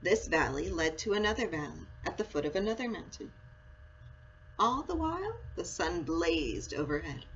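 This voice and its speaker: A woman reading a children's story aloud in an expressive, storytelling style. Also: on the low side, moderate pace. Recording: muffled audio with clear background noise.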